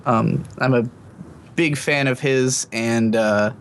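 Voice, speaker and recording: A man with a medium-pitched voice in a casual podcast conversation. He rambles through his thoughts as a guest and chuckles as he talks. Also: fairly even intonation, slow, slight background noise. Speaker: podcast guest, video maker.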